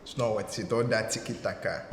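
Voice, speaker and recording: A man's voice: on the low side, brisk. A Nigerian man giving playful, teasing interview answers. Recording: roomy reverb, faint hiss.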